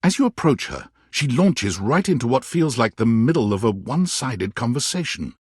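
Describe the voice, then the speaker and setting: A man narrating a dating video game as a dramatic storyteller, reading scripted prose with a husky voice. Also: mid-range voice, sing-song, normal speed, no room sound, studio quality. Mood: wry intrigue.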